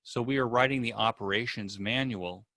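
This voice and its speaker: A man giving a prepared welcome address as an event host, with formal, deliberate phrasing. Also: mid-range voice, sharp tone.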